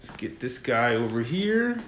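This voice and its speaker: A man giving a casual, unscripted music gear demonstration, pausing often mid-sentence as he talks. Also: mid-range voice, big pitch swings, fairly bright tone, echoey room, slight background noise. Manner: halting, pausing mid-sentence between phrases. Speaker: host of a music gear demo.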